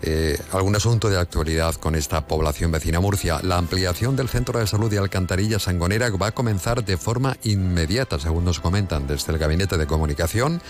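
Man, La Mancha accent, radio host, low voice, brisk studio delivery, light music under. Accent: La Mancha Spanish. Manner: brisk, newsy delivery with quick self-corrections. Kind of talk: radio news and interview.

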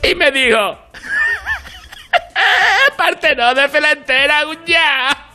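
A man's voice: extremely high pitch, sharp tone, exaggerated voice. A man voicing a giggly, gushing old comic character in a radio comedy sketch, exclaiming and rambling through wheezy laughter. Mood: giggly, chatty. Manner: exclamatory, rambling, drawn-out cries. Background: music under the voice.

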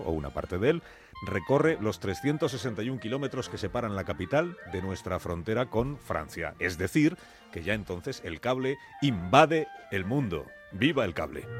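Deep-voiced male radio storyteller over music. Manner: rhetorical questions, emphatic repetition. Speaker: radio presenter. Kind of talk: live storytelling monologue on morning radio. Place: radio broadcast. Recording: music bed behind voice.

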